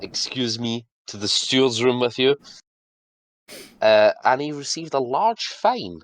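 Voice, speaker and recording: A man co-hosting a casual podcast, chatting and laughing, and putting on quick voices for officials. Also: medium pitch, lively intonation, dry recording, poor audio. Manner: laughs often, acts out officials in quick asides. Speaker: podcast co-host. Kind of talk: casual chat.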